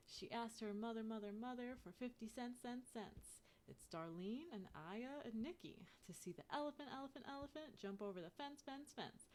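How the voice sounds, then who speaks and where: A woman reading a children's picture book aloud in an expressive way, turning sing-song on chants. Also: on the high side, a little hiss or hum. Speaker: storybook reader.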